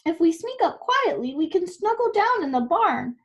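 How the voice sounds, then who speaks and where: Woman doing a loud braying donkey. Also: very high voice, very animated, normal speed, voice pushed up. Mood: eager. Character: loud braying male donkey, cheerful leader.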